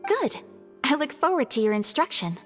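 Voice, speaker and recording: A woman voicing a poised, earnest, courteous young swordswoman in an anime-style video game, speaking politely, formally and gently. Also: sits high, very animated, moderate pace, long reverb tail, soft background music. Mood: attentive.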